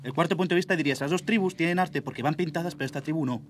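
A man's voice: on the high side, racing, a little hiss or hum. A man with a Spanish accent in a casual podcast debate, arguing eagerly and often checking that he's understood. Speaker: podcast co-host.

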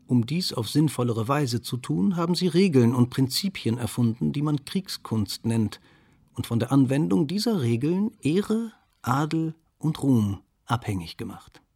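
A man playing a reflective historian in a radio play, speaking in a measured, formal way. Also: mid-range voice, animated, creaky, darkish tone, husky, exaggerated voice.

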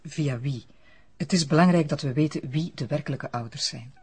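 An actor playing a tactful Flemish police investigator, polite and probing, in an audio drama. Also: male voice, on the high side, normal speed, a little mellow, breathy edge.

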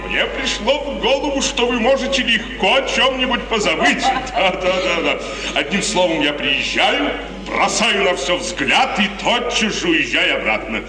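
A man's voice: high-pitched, lively intonation, measured pace. An actor playing an anxious, strict middle-aged father in an emphatic 1950s radio theatre manner. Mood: hearty, brisk. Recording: old echoey radio play, distant, music behind.

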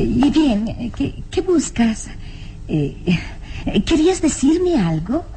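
A young castle heiress in a radio melodrama, with a high, swooping voice. She speaks theatrically, nervous yet used to giving orders, and takes her time over her words. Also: dark tone, heavily acted, poor audio. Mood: nervous, puzzled. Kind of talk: melodramatic radio drama acting.

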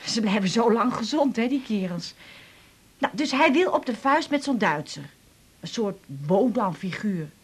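A woman's voice: medium pitch, expressive, unhurried, breathy edge. An actress playing a hurt, chatty middle-aged mother confiding in a colloquial, rambling way, in a naturalistic radio drama. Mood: indignant, animated. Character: hurt, chatty mother back from a failed holiday.

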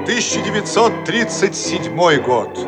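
A man with a high-pitched voice narrates a radio play like a solemn announcer. His delivery is declamatory and scripted, with loud music playing behind him. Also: sing-song, unhurried.

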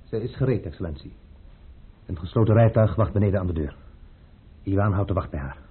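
Deferential servant, deep, quick-spoken, dutiful, muffled radio play sound. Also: male voice, breathy edge. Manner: clipped, respectful reporting. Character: loyal, deferential manservant, brisk and observant. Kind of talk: radio drama acting. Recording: muffled old radio recording, faint noise.

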